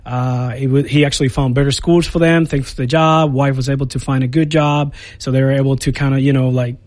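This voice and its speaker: A man in his thirties, born and raised in Puerto Rico, speaks as a guest on a radio show. His medium-pitched voice rambles easily, full of fillers and restarts. The studio sound is dry and close. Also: level tone, brisk, a little mellow, no echo. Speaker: Air Force recruiter. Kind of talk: casual radio interview.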